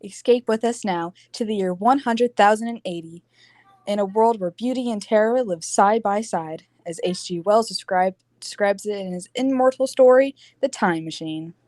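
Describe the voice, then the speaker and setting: A woman's voice: medium pitch, normal speed, a little mellow. A woman announcer reading a scripted introduction in the style of 1940s radio drama.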